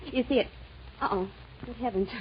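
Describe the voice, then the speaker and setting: A woman's voice: on the high side, brisk, natural voice. An actress playing a flustered, coaxing young woman in a 1940s radio drama, pleading and stammering as she explains herself. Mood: coaxing, pleased. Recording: dull, noisy old radio recording.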